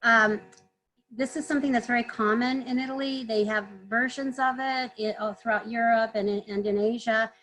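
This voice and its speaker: A woman guest on a video call webinar, answering earnestly, clearing her throat often as she is losing her voice. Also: on the high side, fairly even intonation, normal speed, fairly bright tone, rough recording. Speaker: trade consultant guest.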